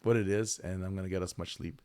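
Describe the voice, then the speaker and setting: A man's voice: sits low, fairly even intonation, fast talker, a little mellow, clear, resonant tone. A man hosting a livestream, chatting casually and joking with a guest, his voice a bit tired from lost sleep. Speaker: streamer host.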